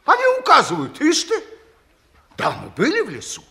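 A man's voice: extremely high pitch, wildly swooping pitch, normal speed, gravelly, sharp tone, full character voice. A man playing a haughty, blustering, self-righteous hunter in a 1960s Russian radio play. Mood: scornful.

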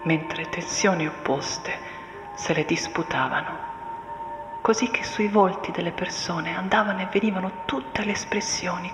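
A woman performing a solemn, deliberate dramatic monologue of literary text, often sinking into breathy whispers. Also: mid-range voice. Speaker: stage actress performing a monologue. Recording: echoey, long reverb, music underneath. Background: music and soundscape.

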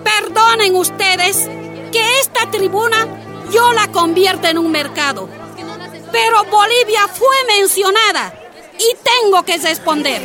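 An actress playing a defiant, plain-spoken miner's wife and union activist in a radio drama, speaking with emphatic indignation. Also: female voice, extremely high pitch, pushed and bright, heavily acted. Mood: impassioned, indignant. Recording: music and crowd behind.

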